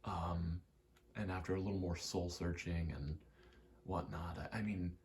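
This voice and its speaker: A young man giving a soft-spoken, halting, reflective ASMR ramble, telling personal stories in a hushed voice close to the mic. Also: sits low, fairly even intonation. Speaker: ASMR creator.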